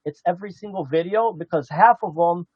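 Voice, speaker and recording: A man's voice: high voice, fairly quick, breathy edge, dry recording. A man arguing insistently as a livestream panel guest, repeating his phrases and talking over others, with dull lo-fi audio. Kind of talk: casual heated argument.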